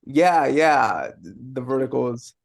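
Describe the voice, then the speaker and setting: A man's voice: mid-range voice, lively intonation, a little slow, creaky. A man chatting as a filmmaker guest in an interview, hesitant and often repeating words. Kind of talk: casual chat.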